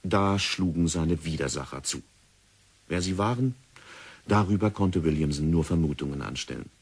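Low husky male narrator. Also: normal speed. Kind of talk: scripted radio drama narration.

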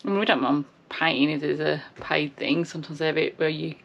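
A woman's voice: low voice, steady tone, a little fast, gravelly, muffled recording. A woman chatting informally to camera as a travel vlogger, recorded on a nearby camera. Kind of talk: casual chat to camera. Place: mostly outdoors on open clifftop.

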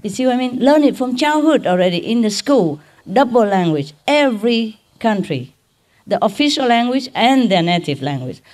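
A middle-aged woman with a Vietnamese accent giving an informal lecture in simple, halting English, checking in with her listeners. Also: a little high, very animated, darkish tone. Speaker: spiritual teacher giving a lecture. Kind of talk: informal spoken lecture to a gathering. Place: large hall or tent with seated audience. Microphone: microphone on a boom stand.

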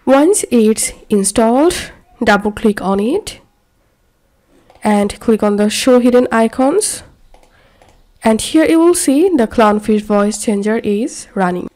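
A woman narrating a scripted software tutorial, giving step-by-step instructions. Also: on the high side, lively intonation, slow pace.

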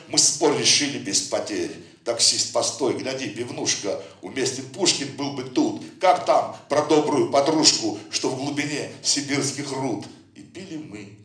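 A man's voice: medium pitch, fairly bright tone. Man, poetry reading, rhythmic recitation, distant mic, echoey hall. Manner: recites verse with a rhythmic cadence, chats loosely between poems. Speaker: poet presenting his book. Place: book presentation hall.

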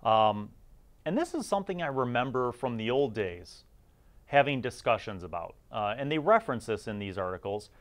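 A man's voice: mid-range voice, very animated, moderate pace. A middle-aged man with a conversational, reflective manner, hosting a review series in casual commentary. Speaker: host of a gaming review series.

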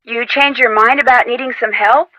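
A woman's voice: on the high side, steady tone, normal speed, sharp tone, no room sound, poor audio. An actress playing a plucky young female amateur detective in an adventure game, talking in an encouraging, insistent, conversational way. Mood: brisk, curious. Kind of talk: scripted adventure game dialogue.

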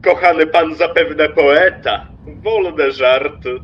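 An actor playing a pompous, jovially mocking railway stationmaster in an audio drama, effusive and theatrical, with drawn-out exclamations. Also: male voice, fairly high pitch, highs cut off, a little hiss or hum. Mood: amused mockery.

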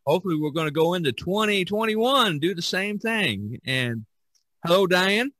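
Bright-voiced man hosting a podcast. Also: high voice, expressive, moderate pace, dry recording. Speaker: podcast host. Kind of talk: casual podcast chat.

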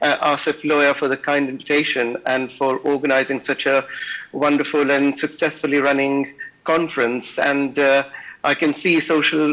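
Slightly hoarse man lecturing over video call. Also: on the high side, flat delivery, fairly bright tone, no room sound, low-quality recording. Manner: measured, many fillers. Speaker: invited lecturer. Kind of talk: remote conference lecture. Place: indoor office.

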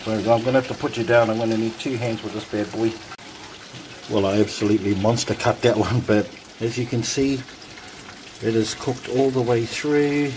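A man casually narrating his home cooking, relaxed and chatty with frequent ums, filmed on a phone over the stove. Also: on the low side, normal speed. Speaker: home cook vlogger. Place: home kitchen. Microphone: phone camera held over the stove. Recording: noisy home kitchen phone recording. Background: sizzling and bubbling pots.

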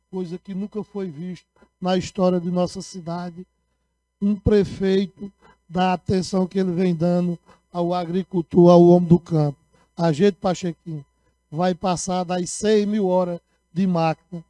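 A middle-aged man giving a council floor speech into a handheld microphone, sending repetitive shout-outs to rural communities while gesturing widely, with a sore throat. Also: high-pitched, dry recording. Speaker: city councilman. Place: municipal council chamber, indoors.

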